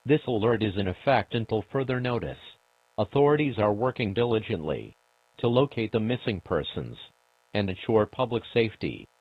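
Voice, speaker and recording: A man delivering a formal scripted emergency broadcast as an announcer, solemn, measured and authoritative. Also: on the low side, expressive, no room sound. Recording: dull lo-fi broadcast audio.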